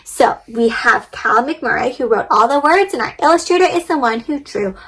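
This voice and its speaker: High-voiced woman hosting a children's read-aloud. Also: normal speed, slightly rough. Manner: expressive, encouraging, addresses young viewers directly with questions. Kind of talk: interactive children's story read-aloud with craft instructions.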